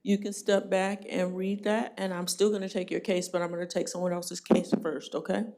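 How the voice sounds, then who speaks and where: A woman judge running a jail court hearing, brisk and plainspoken with a dry joking streak. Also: fairly low pitch, flat delivery, mellow.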